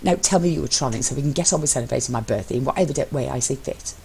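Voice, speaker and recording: A woman voicing an exasperated, bossy and sharp-tongued young man in an audio story. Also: extremely low pitch, racing, gravelly, a little hiss or hum. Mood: challenging. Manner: exasperated, cutting, foul-mouthed.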